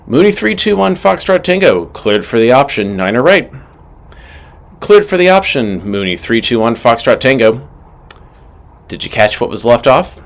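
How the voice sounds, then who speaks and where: A man's voice: medium pitch, sing-song, normal speed. A man giving scripted instructional narration as an aviation instructor, methodical and emphatic in his delivery. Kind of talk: scripted instructional narration with acted radio call examples.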